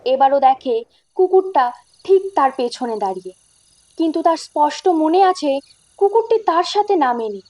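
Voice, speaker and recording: A woman's voice: high voice, animated, moderate pace, mellow. A woman delivering dramatic, scripted horror narration in a suspenseful manner.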